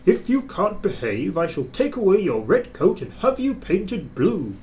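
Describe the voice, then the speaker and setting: A man's voice: high voice, sing-song, a little slow, a little mellow, slight background noise, voice pushed up. A man voicing a firm but kindly male railway boss in a children's storybook. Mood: severe. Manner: stern, firm.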